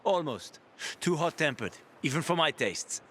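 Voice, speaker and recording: A man's voice: a little high, lively intonation, normal speed, a bit bright, a little hiss or hum. A man acting a roguish, easygoing survivor and guide in a video game, breezy and persuasive with a wry edge.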